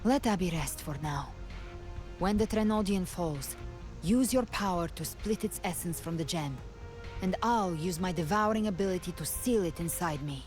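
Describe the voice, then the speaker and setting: A slightly low female voice from an actress for a calm, determined young game heroine. She is firm and earnest, with soft music behind her. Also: moderate pace, lightly acted. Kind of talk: dramatic fantasy game acting.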